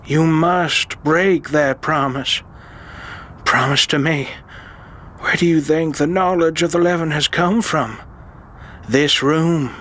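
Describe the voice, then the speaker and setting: A man acting a frail, devout old uncle in an audio drama, solemn and deliberate but fond and commanding. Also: a little high, fairly bright tone, a little hiss or hum. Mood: solemn, urgent.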